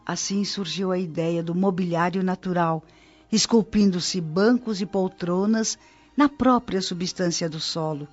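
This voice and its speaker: A devoted woman guide from a spirit colony in a Brazilian radio drama. Her voice sits in the middle-to-low range, with reverent, articulate explanations in a Brazilian accent. The sound is slightly dull and lo-fi, with music playing behind her. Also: unhurried. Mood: reverent explaining.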